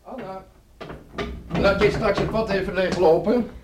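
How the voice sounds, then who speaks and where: An actor playing a nonchalant, teasing middle-aged pilot and weary husband in a radio play, wry and dismissive. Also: male voice, high-pitched, a little slow, noisy background. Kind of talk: Dutch radio play acting.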